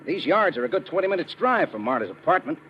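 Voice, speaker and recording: Fast-talking American lieutenant, lively pitch. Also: male voice. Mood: explaining, assured. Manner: clipped, businesslike, assured. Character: matter-of-fact male American army police lieutenant. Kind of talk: 1950s radio detective drama acting. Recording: muffled, noisy old radio recording.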